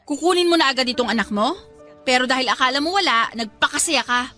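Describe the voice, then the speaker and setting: High-pitched, exasperated young woman. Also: expressive, fast, sharp tone, faint music behind. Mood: indignant, reproachful. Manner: reproachful, nagging, emphatic. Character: responsible, exasperated young woman scolding her friend. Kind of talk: radio drama acting.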